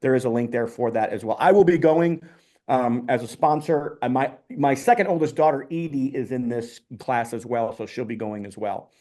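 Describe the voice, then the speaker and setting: A man's voice: mid-range voice, normal speed, warm tone. A man hosting a casual online community call, upbeat, with many ums and restarts.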